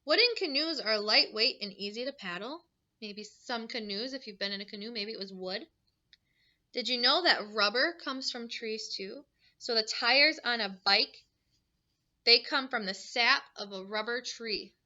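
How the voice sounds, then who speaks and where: A woman's voice: on the high side, normal speed, sharp tone, lo-fi sound. A woman teacher reading a picture book aloud to young children, adding simple explanations and questions in an instructive way.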